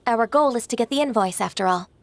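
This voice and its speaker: A woman's voice: fairly high pitch, animated, fast, noisy background. A woman voicing a composed, dutiful young official in a video game, speaking politely, formally and firmly. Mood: earnest, reassuring. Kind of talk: animated video game voice acting.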